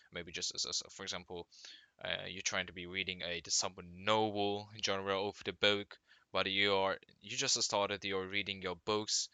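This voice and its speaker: A young man whose first language is Turkish, speaking English with a Turkish accent as a vlogger giving reading tips, halting and restarting often as he searches for words. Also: deep voice, pushed and bright, smooth, no echo. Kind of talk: casual talk to camera.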